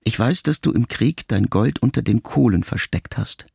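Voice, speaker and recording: A man reading a literary letter aloud as an audiobook narrator, in a slow, solemn and reflective way with long pauses. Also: mid-range voice, expressive, vocal fry, a little mellow, husky, no echo, studio quality.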